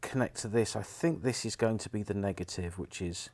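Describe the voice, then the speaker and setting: A middle-aged man with a British accent and a fairly low voice. He talks casually and without hurry, like someone presenting a repair at the bench, explaining each step as he goes. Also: darkish tone. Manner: unhurried, informal, explains step by step. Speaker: presenter of a repair video, former radio engineer. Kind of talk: casual hands-on demonstration commentary.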